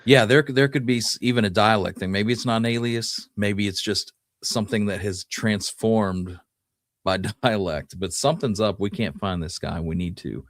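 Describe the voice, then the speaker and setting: A man's voice: fairly low pitch, moderate pace, dry recording, clean studio sound. A man co-hosting a history podcast, talking casually and musing his way through the details. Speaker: podcast co-host.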